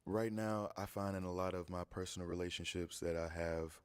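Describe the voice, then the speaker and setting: Deep, flat-voiced man on a dating show. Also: brisk, clear, resonant tone, no room sound. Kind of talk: measured answers on a dating show.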